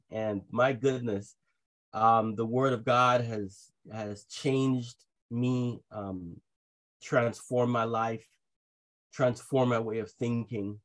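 A man raised in Jamaica and Trinidad, preaching a sermon to a congregation over a video call, earnest and halting, with frequent restarts. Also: mid-range voice, a bit bright, poor audio.

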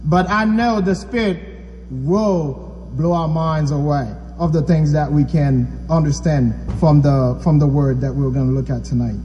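A man's voice: a little high, a little slow, dark tone, in a large hall, dull audio, a little hiss or hum. A man from Haiti preaching a sermon, talking conversationally and asking the audience questions. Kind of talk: church sermon.

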